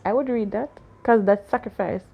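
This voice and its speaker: A woman's voice: medium pitch, moderate pace, vocal fry, breathy edge, narrow, tinny audio. Woman chatting with a kid about a story, keeps asking questions, laughs a lot, teasing challenge. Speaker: aunt discussing a story with a child. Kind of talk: casual discussion after a story reading.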